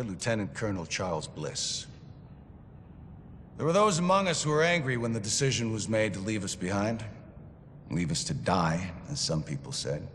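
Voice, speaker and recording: An actor playing a zealous, authoritarian militia commander giving a stern, grave, oratorical speech to his soldiers in a game. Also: a bit bright. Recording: long hall reverb, faint rumbling noise.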